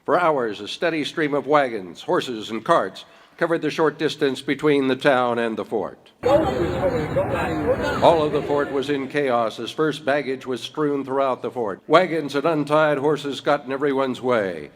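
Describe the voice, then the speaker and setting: A man's voice: medium pitch, measured pace. Man narrating an old-time radio drama. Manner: formal dramatic storytelling. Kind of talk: scripted 1930s radio narration.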